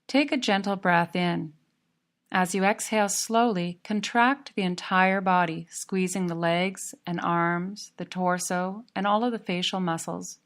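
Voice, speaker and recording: A woman narrating a guided yoga relaxation in a calm, measured, soothing manner. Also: fairly low pitch.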